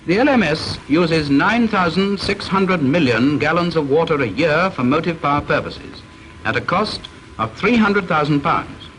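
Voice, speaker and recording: A man with a British accent giving formal scripted narration for a training film, measured, instructive and stern, on a vintage soundtrack with faint hiss. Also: on the high side, lively intonation, fairly bright tone, poor audio.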